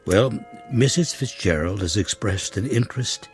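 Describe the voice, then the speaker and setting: Very deep-voiced, deferential manservant played by a man. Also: expressive, normal speed, soft background music, natural voice. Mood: deferential, careful. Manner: formal, hesitant, tactful. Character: deferential male household servant, polite and cautious. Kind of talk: radio drama acting.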